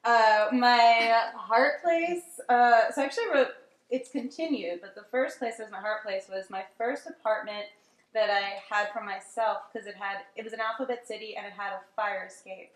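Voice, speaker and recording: A woman's voice: a little high, moderate pace. A woman from Staten Island with a New York accent, sharing personal stories casually with laughs and many ums. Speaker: workshop participant. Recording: echoey room.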